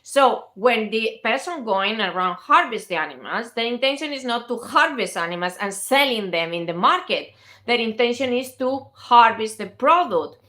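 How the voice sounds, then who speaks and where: A woman from Spain with a Spanish accent argues her point in a fairly high, bright voice. She is insistent and keeps cutting in on the other speaker, laughing now and then. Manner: insistent, interrupting, laughs often. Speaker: vegan activist host. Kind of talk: heated debate.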